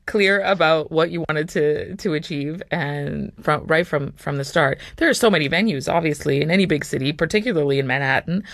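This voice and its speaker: An interview host with a conversational, encouraging way of putting halting questions. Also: medium pitch, a bit bright, dry recording, clean studio sound.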